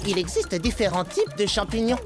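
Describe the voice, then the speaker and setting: A woman's voice: medium pitch, big pitch swings, moderate pace, over loud music, close to an everyday voice. A woman voicing a brainy, pedantic young microbe in a French cartoon dub, speaking precisely and didactically. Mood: lecturing. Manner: didactic, precise, melodramatic when alarmed. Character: brainy, pedantic young microbe friend who explains science.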